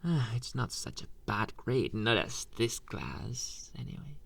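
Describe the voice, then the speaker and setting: A man playing a flirtatious male anime teacher in an ASMR roleplay, coy and teasing, murmuring to the listener with hesitant pauses and pet names. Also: mid-range voice, lively intonation, gravelly, slightly rough, heavily acted. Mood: conspiratorial, flirty.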